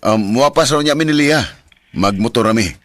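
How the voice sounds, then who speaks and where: A man's voice: mid-range voice, a little fast, close to an everyday voice. An actor with a Filipino accent playing a devoted, emotional young father in a Bisaya radio drama. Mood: warm, casual. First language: Cebuano. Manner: emotive, swinging from soft talk to anguished cries. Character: devoted young father, tender, later anguished and bitter. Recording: noisy radio drama track with clicks.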